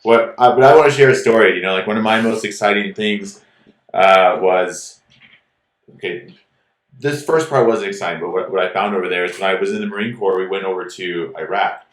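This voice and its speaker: Low-voiced man telling rambling stories. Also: fairly quick, roomy sound. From Ecuador. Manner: rambling and animated, many "like" fillers. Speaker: podcast co-host. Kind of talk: casual storytelling chat.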